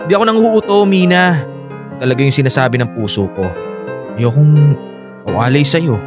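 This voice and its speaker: An actor playing Felix, a clingy young boyfriend in love, emotional and coaxing in a radio romance drama. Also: male voice, a little high, lively intonation, moderate pace, over music, natural voice. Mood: tender, pleading. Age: young adult.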